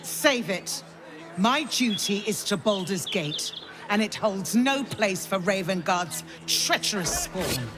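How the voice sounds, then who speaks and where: Stern, solemn, slow-spoken noblewoman counsellor. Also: mid-range voice, very animated, noisy background. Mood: bitter, accusing. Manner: solemn, formal, measured delivery. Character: stern, loyal noblewoman counsellor of a fantasy city. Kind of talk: dramatic video game cutscene acting. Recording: music behind the voice.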